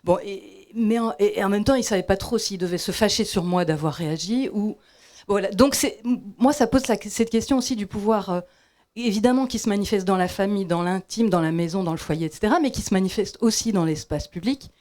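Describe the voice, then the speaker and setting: A woman from Belgium, asking a reflective question from the audience with many pauses and restarts. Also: medium pitch, a little mellow. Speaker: audience member. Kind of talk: question from the floor.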